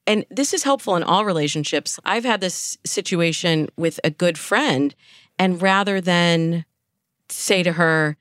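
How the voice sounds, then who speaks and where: Low-voiced woman coach, close and dry. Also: measured pace, a bit bright, no echo. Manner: explanatory, speaks in illustrative examples. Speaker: dating coach, interview guest. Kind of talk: conversational relationship advice.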